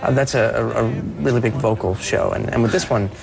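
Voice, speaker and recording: A young man speaking as a performer guest on a TV interview, soft-voiced and earnest, often restarting his sentences. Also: medium pitch, fairly quick, vocal fry, music in the background. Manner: earnest, with many restarts and repeated words. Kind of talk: TV morning show interview.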